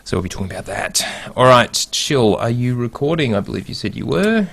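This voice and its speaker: A man's voice: mid-range voice, sing-song, a little fast, creaky, a bit bright, dry recording. A man co-hosting and producing a podcast, talking in a laid-back, wry way. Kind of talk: casual chat.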